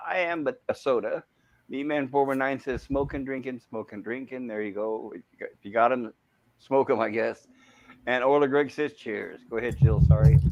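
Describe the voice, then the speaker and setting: A man's voice: mid-range voice, a little mellow, no echo, muffled recording. A man hosting a casual livestream review, chatting and reading out comments.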